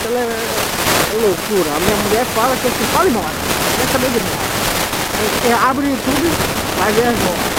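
A young man from Manaus with an Amazonas Brazilian Portuguese accent, chatting casually in a rambling, slangy way while riding a motorcycle. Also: very high voice. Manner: rambling, slangy, repeats himself. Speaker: motovlogger. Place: outdoors on a motorcycle on city roads. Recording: loud road and wind noise.

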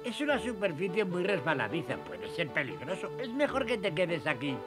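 A careful old gnome sidekick from a cartoon. He has a high, very animated voice with a fussy, anxious air. Music runs behind it. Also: moderate pace. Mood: cautious. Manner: fussy, cautioning. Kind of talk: cartoon dub acting.

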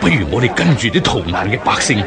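An actor playing an alarmed, loyal attendant to an emperor in a historical radio drama, urgent and deferential. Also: male voice, medium pitch, big pitch swings, quick pace, crowd noise, close to an everyday voice.

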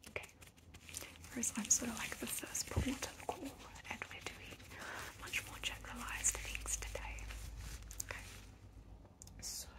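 A young woman whispers close to the microphone in an ASMR roleplay. She plays an eye examiner with a gentle, attentive, reassuring manner. Her voice sits fairly high and stays hushed and breathy, like a private appointment in a small room. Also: poor audio. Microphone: close mic, leaning in near the camera.

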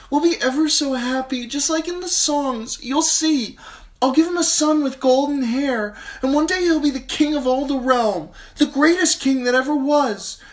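A man voicing a lovestruck, headstrong young noble girl in an audiobook, high and breathless. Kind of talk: audiobook character voice.

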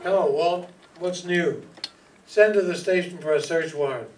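A man's voice: a little high, moderate pace, natural voice. An older man acting a wry, hardboiled private detective in a stage radio play, narrating and questioning. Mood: confident. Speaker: amateur radio play actor. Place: small hall stage, indoors. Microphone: stand microphone, recorded by far camera. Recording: noisy, distant hall PA recording.

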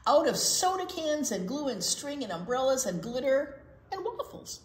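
An American woman in her sixties reads a picture book aloud as a children's storyteller, expressive and explaining things simply. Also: sits high, normal speed. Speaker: children's storytime reader at a library. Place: library children's room, indoors. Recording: roomy, echoey indoor sound.

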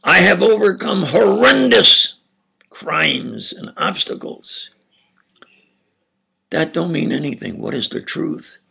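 An older man with an Upstate New York and New Jersey accent, giving a halting, insistent monologue lecture with sudden loud emphasis. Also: on the high side, animated, gravelly, slightly rough. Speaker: self-styled preacher lecturing on camera.

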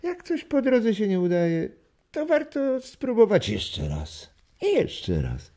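Husky, animated old grandfather. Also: fairly low pitch, full character voice. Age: older. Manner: deliberate, reflective, with dramatic pauses. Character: warm old grandfather telling family stories to grandchildren. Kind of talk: children's radio drama storytelling.

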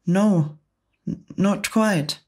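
A woman voicing a kind, gentle female ladybird in a storybook reading, with a soft, motherly manner. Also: mid-range voice, extremely slow, voice pushed up. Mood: reassuring.

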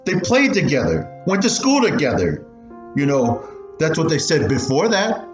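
A man playing an animated, pained old survivor. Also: on the high side, moderate pace. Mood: reflective, troubled. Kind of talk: student radio play acting. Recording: dull, roomy recording with music.

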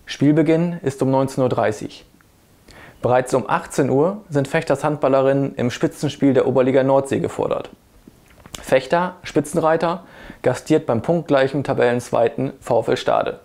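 A man's voice: medium pitch, normal speed, darkish tone. A man in his thirties reading a scripted sports report as presenter. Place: indoor video studio. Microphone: lapel mic.

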